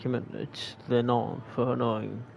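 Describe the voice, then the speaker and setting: A man in casual gameplay commentary, reading the game text aloud at an unhurried pace. His voice has a gravelly edge, and he pauses to explain words as he goes. Faint noise sits in the background. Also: mid-range voice, level tone, a little mellow, highs cut off.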